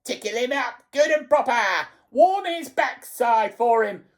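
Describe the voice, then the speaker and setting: A woman's voice: lively intonation, a little slow. A woman voicing a tiny, loathsome old woman from a children's storybook, shrieking and gloating with a cackle. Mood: vicious glee. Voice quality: shrill, screeching.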